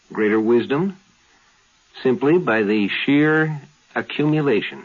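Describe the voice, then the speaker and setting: A man giving explanatory, lecture-like commentary in halting, deliberate phrases. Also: medium pitch. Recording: thin phone-like 1950s radio recording.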